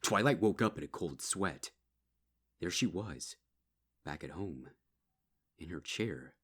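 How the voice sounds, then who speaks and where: A man reading a dark horror story as a dramatic scripted narration. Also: low voice, animated, slow, slightly rough. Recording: music behind.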